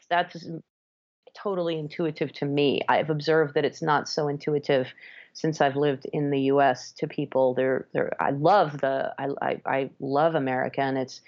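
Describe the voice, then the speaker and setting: Woman, grew up in France, low voice, muffled lo-fi sound, thoughtful, restarts sentences, podcast guest. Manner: thoughtful, many restarts and self-corrections. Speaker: guest, jazz band leader. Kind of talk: conversational podcast interview.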